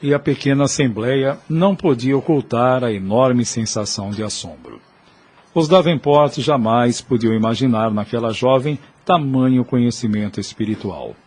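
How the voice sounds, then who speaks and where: Husky guy narrating a radio play, mid pitch, scripted storytelling. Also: normal speed, a little mellow. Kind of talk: scripted audio drama narration.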